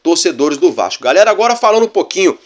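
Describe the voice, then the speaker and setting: A man's voice: sits high, very animated, fast. A Brazilian man hosting a fan channel, giving casual football commentary in a chatty way full of fillers. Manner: chatty, repeats fillers like "né" and "galera".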